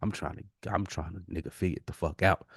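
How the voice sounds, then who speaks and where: A man's voice: deep voice, steady tone, fast talker, vocal fry, husky, no room sound, clean studio sound. An American man co-hosting a podcast, talking casually with heavy slang, swearing and emphatic phrasing. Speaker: podcast co-host. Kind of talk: casual conversation.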